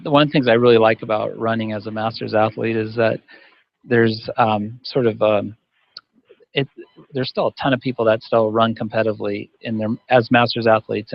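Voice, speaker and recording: Fifties guy, gravelly flat voice, rambles a lot on a panel, kinda dull dry audio. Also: on the low side, no room sound. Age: 50s. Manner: rambling, restarts often. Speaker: masters runner guest. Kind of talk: panel discussion answer.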